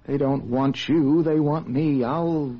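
An actor playing an anxious, secretive husband in a screen drama, speaking in a hesitant, halting way. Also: male voice, fairly high pitch, steady tone, dull audio. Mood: weary, resigned. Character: anxious, secretive husband living under a false identity.

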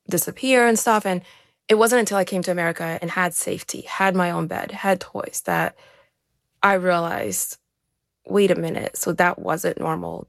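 A woman's voice: lowish voice, vocal fry, dry recording, clean studio sound. A young woman from Ukraine, an interview guest, earnestly telling her life story in a conversational way. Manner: earnest, conversational, with frequent restarts. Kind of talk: candid personal storytelling.

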